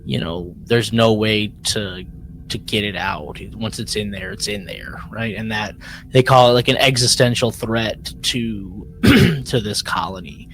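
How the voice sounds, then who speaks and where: A man's voice: on the low side, measured pace, fairly bright tone, low-quality recording. Man chatting casually over background noise. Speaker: podcast co-host. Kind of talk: casual discussion recapping a drama episode.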